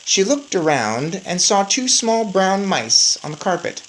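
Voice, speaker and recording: A woman reading a children's story aloud in an expressive narrating style. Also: mid-range voice, a little slow, a little hiss or hum, low-quality recording. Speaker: story reader.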